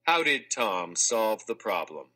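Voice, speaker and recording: A man narrating a children's textbook read-aloud in a clear, measured, expressive scripted delivery. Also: fairly low pitch. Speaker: audiobook narrator.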